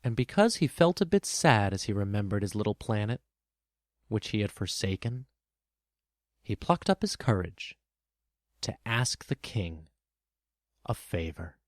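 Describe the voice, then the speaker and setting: A man narrating a children's story as scripted, expressive storybook narration. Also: lowish voice, unhurried, pauses often, dry recording, clean studio sound.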